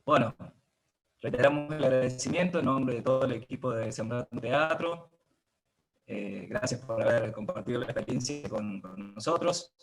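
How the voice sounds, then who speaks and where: A man with an Argentine accent hosting an online talk informally, speaking haltingly as he searches for words. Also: mid-range voice, music in the background, low-quality recording. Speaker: host of an online theatre talk. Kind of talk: informal spoken closing remarks and moderation.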